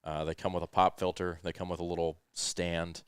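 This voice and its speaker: A man with a smooth, very deep voice talks through podcast gear in a laid-back, meandering way, often pausing on ums and uhs. He speaks into a headset microphone, and the sound is close and dry with no room around it. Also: no echo. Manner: relaxed, rambling explanations with frequent ums and uhs. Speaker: podcast host. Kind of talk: casual explanatory tech chat. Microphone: headset microphone with headphones.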